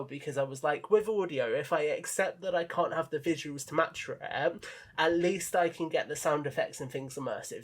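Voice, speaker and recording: A man's voice: fairly high pitch, fairly bright tone. A man talking casually and in detail as a podcast guest, modest about himself and keen on his creative work. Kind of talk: casual enthusiastic podcast chat.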